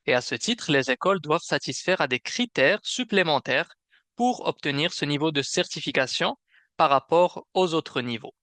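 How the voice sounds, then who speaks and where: Bright-voiced man hosting a webinar. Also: on the high side, animated, normal speed, no room sound. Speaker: webinar host and program manager. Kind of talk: semi-scripted webinar presentation.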